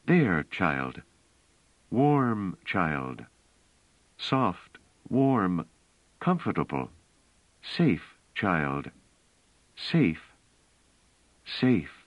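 A man voicing a gentle but firm, protective young woman in an audiobook, soothing and insistent. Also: deep voice, sing-song, very slow, long pauses, no room sound, muffled recording, full character voice. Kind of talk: audiobook character voice.

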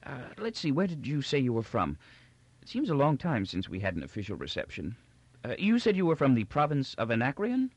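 A man voicing a gentle, confused elderly emperor from a novel, with a quavering, halting voice and a hesitant, forgetful manner. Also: mid-range voice, wildly swooping pitch, no echo, voice pushed up. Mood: kindly, absent-minded. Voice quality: quavering, halting old man's voice.

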